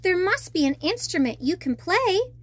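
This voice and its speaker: A woman's voice: extremely high pitch, big pitch swings, normal speed, pitched above the performer's own voice. A woman voicing a young mermaid princess in a storybook, plucky, kind and coaxing. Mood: curious.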